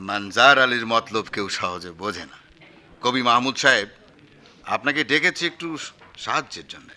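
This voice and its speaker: A man's voice: mid-range voice, normal speed, bright, forceful tone. A man playing a shrewd, self-important newspaper editor in a radio play, pompous and domineering. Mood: smug, coaxing. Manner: chiding, pompous. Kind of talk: 1990s radio play acting.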